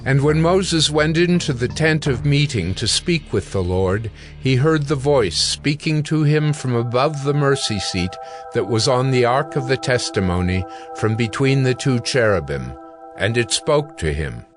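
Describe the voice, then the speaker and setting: Male narrator, middle pitch, solemn, measured slow pace, scripture, music bed. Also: animated.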